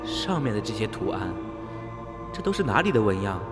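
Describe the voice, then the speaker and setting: A man's voice: lowish voice, very animated, normal speed, music in the background. An actor playing an eager, curious young male traveler in a radio drama. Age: young adult. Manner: eager, inquisitive.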